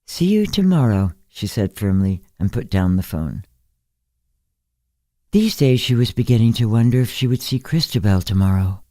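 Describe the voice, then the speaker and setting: A woman reading a literary story as an audiobook narrator, in a measured, unhurried manner. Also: a bass voice, warm tone, no echo. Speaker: story reader.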